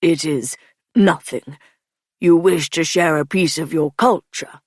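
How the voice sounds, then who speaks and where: A towering bear-like cook with a short temper snaps and grumbles in deadpan bursts, as a woman narrator voices it. The voice drops low and comes out loud with a strange, altered timbre. The recording is dry and close. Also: slow, no echo. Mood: grudging.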